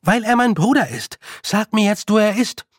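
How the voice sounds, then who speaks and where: A man performs a young girl for an audiobook. She is determined and careful, speaking with dry insistence. The pitch is lifted and the tone a little husky, in a very dry recording. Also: animated, unhurried, no room sound, full character voice. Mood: insistent. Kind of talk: audiobook character voice.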